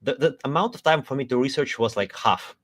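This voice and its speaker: A man's voice: medium pitch, fairly quick, no room sound. A man co-hosting a casual podcast chat who talks hesitantly with many fillers and restarts. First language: not Spanish; Spanish is his third language. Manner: hesitant, filler-heavy, relaxed. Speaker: chief marketing officer, co-host.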